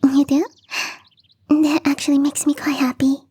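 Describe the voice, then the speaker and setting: A woman voicing a gentle young catgirl gardener in an intimate ASMR roleplay, speaking in a soft, breathy, whispery voice straight to the listener. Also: high-pitched, level tone, moderate pace, lightly acted. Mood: pleased, earnest. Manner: gently teasing, confiding, addressing the listener directly.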